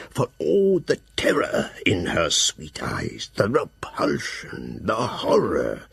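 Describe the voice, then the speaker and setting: An actor playing a grave, fearful old professor who hunts vampires, narrating his journal solemnly in an audio drama, with a gravelly, husky voice. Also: a bass voice, a bit bright, no echo, heavily acted. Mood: dread, fierce hope.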